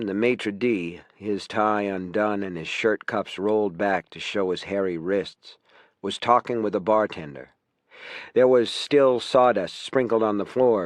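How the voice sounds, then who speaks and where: A man reading a nonfiction audiobook in a measured, clearly phrased scripted narration. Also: fairly low pitch, no room sound.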